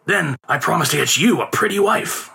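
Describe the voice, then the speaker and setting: A man plays a wily old street magician from a storybook, a melodramatic father who complains and pleads. The voice is pitched high, swooping and bright, well above an everyday register. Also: expressive, a little fast. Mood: pleading. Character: theatrical aging male street conjurer, wily, lamenting father. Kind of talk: storybook character voice.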